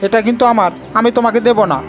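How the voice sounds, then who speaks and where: Man doing high, bickering cartoon village brother. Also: fast talker, over music, natural voice. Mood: quarrelsome. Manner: bickering, insistent, repetitive. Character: two quarrelling village brothers, childish and possessive. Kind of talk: Bengali fairy-tale cartoon acting.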